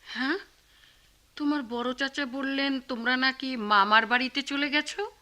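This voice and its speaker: An actress playing a kind but outspoken middle-aged aunt and wife in a radio play, fussing and exclamatory. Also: female voice, high-pitched, fairly even intonation, measured pace, sharp tone. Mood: welcoming. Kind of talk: Bengali radio play acting.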